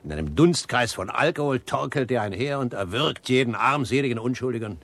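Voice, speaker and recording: An actor in a German radio play playing a worldly, decisive gentleman with cynical views and an emphatic delivery. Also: medium pitch, lively intonation, brisk, creaky. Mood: scornful, lecturing.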